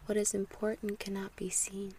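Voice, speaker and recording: A woman voicing a small, gentle boy prince who is grave and sad, in a soft whispered reading. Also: mid-range voice, monotone, normal speed, a little hiss or hum, lightly acted. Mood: grave, hushed.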